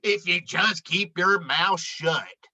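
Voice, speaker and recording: Woman playing an exasperated, sharp storybook animal. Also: mid-range voice, takes it slowly, full character voice. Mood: curt. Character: exasperated animal friends challenging their bossy leader.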